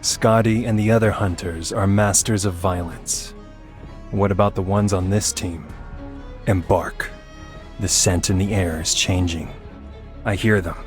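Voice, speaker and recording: A man voicing a grave, ominous hunter warrior in a video game, speaking in a solemn, measured, formal way. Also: low-pitched, steady tone, soft background music. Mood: cold, menacing. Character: grave, ominous male abyssal hunter warrior, distrustful of land dwellers. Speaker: voice actor for a video game character. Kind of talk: scripted video game character lines.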